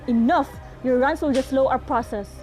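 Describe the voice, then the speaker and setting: A woman's voice: high voice, normal speed, a little mellow, soft background music, lightly acted, rough recording. A woman playing a stern, businesslike investigator in a radio drama, formal and insistent. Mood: firm, impatient. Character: stern businesslike female investigator questioning students.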